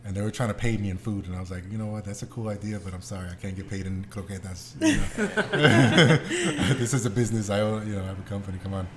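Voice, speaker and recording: A man's voice: lowish voice, very animated, quick pace, hardly any pauses, crowd noise. A man hosting a podcast, chatting and asking questions in an informal, slangy way. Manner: slangy, informal, swears casually. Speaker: podcast host. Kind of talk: casual interview chat. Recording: clear background noise.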